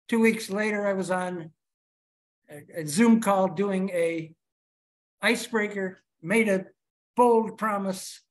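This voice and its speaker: A man from Chicago giving a prepared speech to his club over a video call, telling his story with rhetorical questions. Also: high voice. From Chicago, USA.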